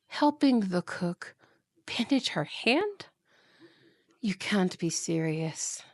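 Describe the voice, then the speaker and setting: Woman acting as cruel evil queen stepmom, slow scolding delivery, kinda high voice, haughty, fantasy asmr roleplay. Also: no echo, heavily acted. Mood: stern, scolding. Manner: imperious, scolding, condescending.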